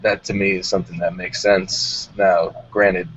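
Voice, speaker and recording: Male talk-show host, very low register, casual panel chat, muffled recording with faint noise. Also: level tone, normal speed, fairly bright tone. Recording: dull audio with background noise.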